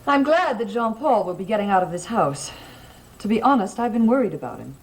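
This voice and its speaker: A woman's voice: mid-range voice, very animated, fairly quick, low-quality recording. An actress playing an uneasy, concerned woman in an old gothic television soap, speaking earnestly and hesitantly. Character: uneasy, concerned female guest in a gothic mansion. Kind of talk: 1960s-70s gothic soap opera acting. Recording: old TV soundtrack with loud background music.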